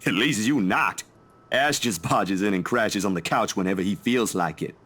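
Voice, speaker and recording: A man's voice: on the low side, normal speed, pushed and bright. A man voicing a friendly, caring young man in a visual novel, casual and chatty, stammering when flustered. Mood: warm, joking.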